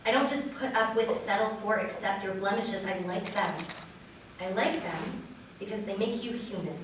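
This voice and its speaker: A woman's voice: medium pitch, normal speed. A woman giving a dramatic reading of love poetry as a wedding reader. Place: large echoey room at a wedding. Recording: echoey hall, distant mic, faint noise.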